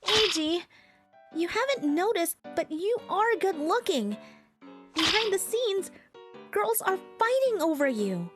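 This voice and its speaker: A woman's voice: extremely high pitch, sing-song, slow pace, fairly bright tone, faint music behind, full character voice. A woman voicing a sweet, earnest young girl in love in a cartoon. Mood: tender.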